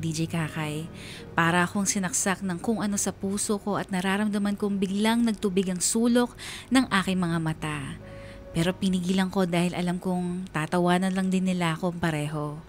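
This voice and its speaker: A woman's voice: lowish voice, moderate pace, lightly acted. A woman playing a sorrowful, earnest young letter writer with a Filipino accent, narrating a radio drama in the first person. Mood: pained. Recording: music bed behind the voice.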